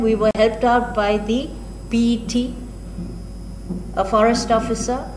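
A woman in her sixties with an Indian accent gives a keynote address at a podium, telling anecdotes in a measured, deliberate way with frequent pauses. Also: mid-range voice. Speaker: keynote speaker, retired senior police officer. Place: indoor hall at a podium. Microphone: gooseneck podium microphone. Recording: roomy echo, clear background noise.

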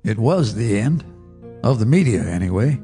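An actor narrating a radio drama as an older farmer telling his memories in a measured, folksy way. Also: fairly low pitch, very animated, mellow. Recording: reverberant radio drama with music.

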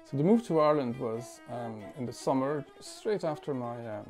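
A man in his forties from France, a musician talking thoughtfully at home, with many pauses, fillers and restarts. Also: mid-range voice, lively intonation, a little mellow, faint music behind. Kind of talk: reflective interview talk. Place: living room at home.

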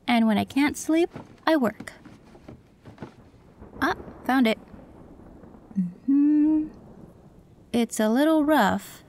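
A woman voicing a female cyberpunk tech who works on an android, calm and matter-of-fact, in an audio roleplay. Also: a little high, a little hiss or hum.

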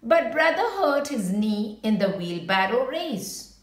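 Casting: female storybook reader for children, very animated intonation, unhurried pace, roomy echoing sound. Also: fairly high pitch. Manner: expressive, unhurried picture-book delivery. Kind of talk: read-aloud storytelling for children with brief asides.

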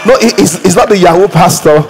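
A man in his thirties with a Nigerian English accent, preaching a lively sermon on a church stage, acting out quoted voices and sometimes breaking into song or shouts. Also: sits high, fairly quick, faint music behind, some chatter behind, rough recording. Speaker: pastor.

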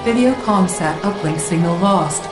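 Game military computer, woman performer: calm, low-pitched female announcer, emotionless and clipped, with clear echo. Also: moderate pace, over loud music, lightly acted. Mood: impassive. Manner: clipped, emotionless, formal status reports. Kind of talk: scripted game cutscene announcement.

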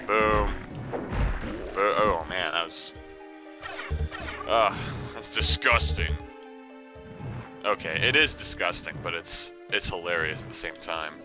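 A young man with a slightly low voice talks through his gameplay in a loose, joking way, sounding a little congested. Loud game music plays behind him the whole time. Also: slow pace, long pauses. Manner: joking, self-talking. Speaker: let's play commentator. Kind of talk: casual gameplay commentary.

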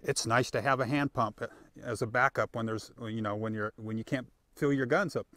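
A man's voice: medium pitch, steady tone, normal speed, fairly bright tone, no echo. A middle-aged man with a mid-range voice talks shop in a folksy, plain-spoken way. He rambles on happily in a live chat from an open-sided tent at a range, and the sound is dry. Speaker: guest product expert. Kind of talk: casual live product chat.

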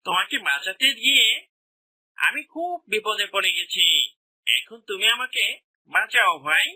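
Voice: mid-range voice, big pitch swings, normal speed, bright, forceful tone, breathy edge, no echo, heavily acted. কার্টুনের তীক্ষ্ণ, উজ্জ্বল গলার চালাক শিয়াল, একজন অভিনয়শিল্পীর কণ্ঠে. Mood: worried. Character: sly fox, pleading and anxious with the tiger. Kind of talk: children's cartoon voice acting.

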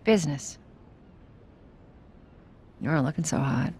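A woman's voice: low-pitched, unhurried, darkish tone, breathy edge, exaggerated voice. An actress playing a tough American smuggler in her thirties in a video game, blunt, dry and menacing. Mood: blunt, businesslike. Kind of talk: dramatic video game acting.

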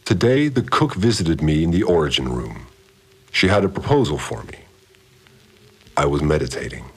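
An actor playing a calm, cryptic male cult priest, narrating his log in a measured, solemn way. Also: rumbling low, slightly rough, exaggerated voice. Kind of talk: scripted audio drama narration. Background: soft music.

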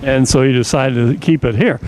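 A man's voice: medium pitch, fairly quick, darkish tone, low-quality recording. An older American man hosting a casual on-location interview into a handheld microphone. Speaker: interviewer, show host. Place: indoors in a cafe, behind the counter. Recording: clear background noise on location.

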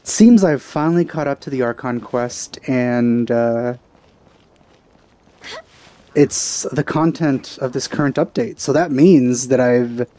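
Mellow-voiced man casually commentating a game. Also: medium pitch. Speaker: let's player. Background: game music.

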